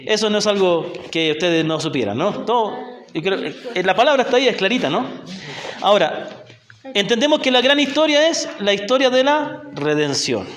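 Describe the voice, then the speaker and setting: High-voiced Chilean man teaching in an echoey church. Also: lively intonation, normal speed, a bit bright. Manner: patient, asks the group questions, often checks with "¿ya?". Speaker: missionary teacher. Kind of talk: Bible class teaching. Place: church hall in a rural community.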